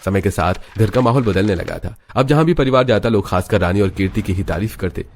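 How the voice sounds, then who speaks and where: A man reading scripted narration for an animated family drama story as the storyteller. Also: lowish voice, fairly quick.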